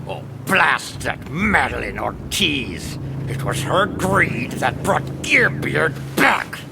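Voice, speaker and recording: A man's voice: sing-song, slow, gravelly, over music, heavily acted. An actor playing a bitter, complaining pirate crewman with theatrical pirate talk in an audio drama. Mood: bitter grumbling. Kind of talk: scripted audio drama acting. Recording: rumbling background noise.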